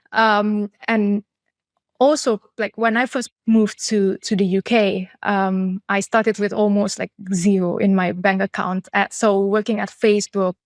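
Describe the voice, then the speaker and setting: A woman's voice: medium pitch, dry recording. Reflective woman from Indonesia. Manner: reflective, many fillers and restarts. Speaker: guest, chief product officer. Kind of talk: candid interview answers.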